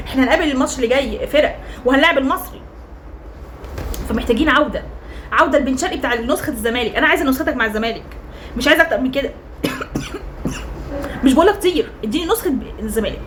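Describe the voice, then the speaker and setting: A young woman with an Egyptian Arabic accent, hoarse from cheering, rating football players in a chatty, teasing, emphatic monologue. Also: high voice, slight background noise, low-quality recording. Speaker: football fan vlogger.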